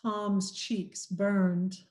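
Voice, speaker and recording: A woman reading a classic novel aloud, slow and expressive, giving the characters voices. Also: mid-range voice. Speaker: community reading program volunteer reader.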